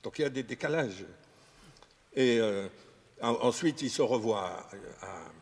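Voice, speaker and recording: A man speaking as a historian in an unscripted discussion, talking haltingly with many fillers and restarts. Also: mid-range voice, expressive, husky. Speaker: historian guest. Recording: reverberant room.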